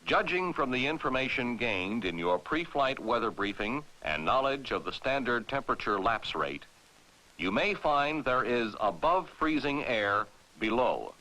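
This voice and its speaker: An American man narrating a 1960s military training film in a formal, authoritative, clearly enunciated way, on a vintage film soundtrack. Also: mid-range voice, expressive, measured pace, bright, forceful tone, poor audio.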